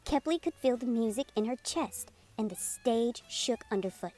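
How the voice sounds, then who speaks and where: Woman, high voice, very lively intonation, children's read-aloud narration, storytime host, music underneath. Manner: animated, unhurried storytelling for young children. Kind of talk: expressive picture-book read-aloud narration. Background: music playing under the voice.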